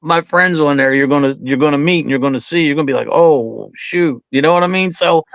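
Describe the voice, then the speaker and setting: A man's voice: on the high side, a little fast, vocal fry, a bit bright, no echo. Easygoing fifty-something man telling stories. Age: 50s. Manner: loose, storytelling, says "man" often. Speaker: guest. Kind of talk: casual interview chat.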